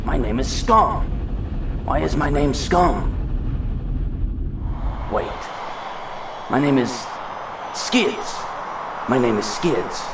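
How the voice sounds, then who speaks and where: An actor playing a reckless robot fugitive with no memory, rambling and questioning himself in an audio drama. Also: male voice, mid-range voice, sing-song, drawn-out words, long pauses, distant mic, noisy background, exaggerated voice. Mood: frantic, wry. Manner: rambling, self-questioning monologue. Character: amnesiac robot fugitive, reckless, jumpy and self-mocking.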